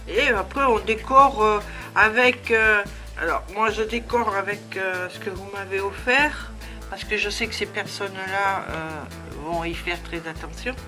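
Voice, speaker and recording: Woman with a head cold, crafting tutorial host; slow, rambling, full of fillers, music behind. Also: medium pitch. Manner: chatty, many hesitations and fillers. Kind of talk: casual crafting demonstration.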